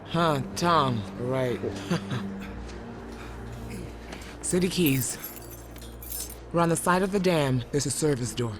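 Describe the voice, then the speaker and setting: A low-voiced tough engineer. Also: female voice, slow, pauses often, background noise. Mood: dry, guarded. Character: tough, dry-witted female engineer and raider fighter. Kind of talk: video game cutscene acting.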